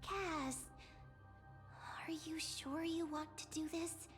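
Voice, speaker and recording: A woman voicing a small, childlike, anxious companion in a video game, fretful and animated. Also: extremely high pitch, slow pace, long reverb tail, soft background music. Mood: worried.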